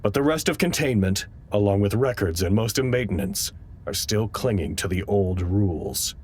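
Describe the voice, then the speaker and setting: A fairly low male voice plays an earnest, uneasy staffer at a research site. He speaks in a naturalistic audio drama style, measured and a little hesitant, as if keeping a careful log. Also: lively intonation. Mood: sober, weary reporting. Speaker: actor in an audio drama podcast.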